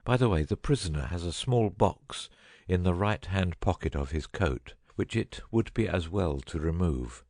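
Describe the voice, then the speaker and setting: A man plays a cunning detective in an audiobook drama. The voice is low and husky, with lively swings in pitch, recorded close and dry. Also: moderate pace, hardly any pauses, no echo. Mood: composed, wry. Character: cunning male detective, first feigning a dying illness, later brisk.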